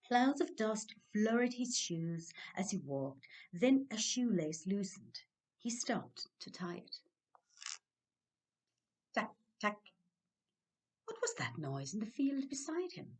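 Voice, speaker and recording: An Irish-accented woman reading a children's story expressively, with dramatic timing and hushed suspense. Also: mid-range voice, big pitch swings, slow pace, long pauses. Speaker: library storyteller.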